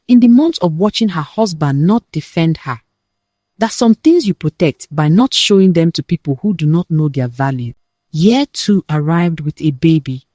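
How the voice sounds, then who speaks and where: A woman narrating a scripted dramatic family tale as a storyteller, in deliberate, measured phrases with pauses for weight. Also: on the low side, darkish tone, dry recording, clean studio sound.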